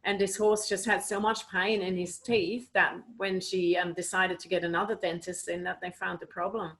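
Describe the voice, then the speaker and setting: A woman's voice: lowish voice, little pitch movement, normal speed, fairly bright tone, rough recording. A woman from Switzerland, a guest animal communicator, answering interview questions in an earnest, explaining way with many "you know"s, over a video call.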